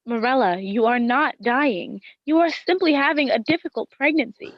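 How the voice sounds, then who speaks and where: An actress playing a devoted, worried wife in a gothic radio play, speaking in formal, literary phrasing. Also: female voice, high-pitched, moderate pace, no room sound, muffled recording. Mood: worried, firm. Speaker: actress in an audio drama. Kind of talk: dramatic first-person radio play narration and dialogue.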